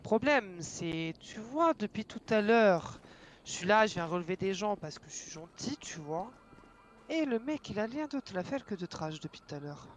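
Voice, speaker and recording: A man's voice: sits high, big pitch swings, normal speed, slight background noise. A man playing an admin in an online role-play game, lecturing a troublesome player in an annoyed tone.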